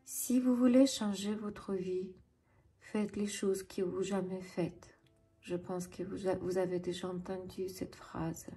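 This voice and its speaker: Slow-speaking Ukrainian woman. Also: medium pitch, level tone, mellow, faint music behind. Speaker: tarot reader and channel host. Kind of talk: tarot reading addressed to viewers.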